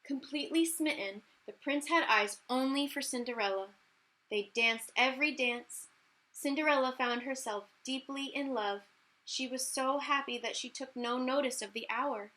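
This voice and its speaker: A woman reading a children's story aloud as a teacher, in an animated, emphatic way with key words drawn out. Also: sits high, normal speed, poor audio. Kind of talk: expressive children's read-aloud narration.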